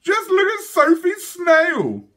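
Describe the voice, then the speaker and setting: A man voicing excitable six-year-old twin boys, eager and bragging, in loud exclamations. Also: very high voice, takes it slowly, pitched above the performer's own voice, exaggerated voice. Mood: boastful.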